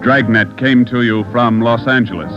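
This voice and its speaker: A man's voice: medium pitch, steady tone, moderate pace, loud music behind the voice. Formal male radio announcer. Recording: dull old radio broadcast.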